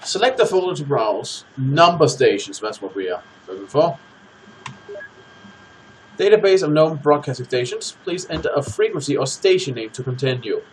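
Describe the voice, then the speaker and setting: Animated male let's play host. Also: medium pitch, normal speed, slight background noise, rough recording. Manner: animated, addresses the audience. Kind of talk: casual gaming commentary, reading game text aloud.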